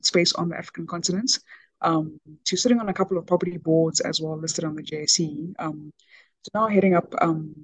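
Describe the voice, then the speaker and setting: A woman's voice: low-pitched, no room sound, low-quality recording. A woman originally from Ghana, speaking as an interview guest with frequent ums and restarts. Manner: hesitant, many ums and restarts. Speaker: podcast guest, business executive. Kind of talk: conversational interview.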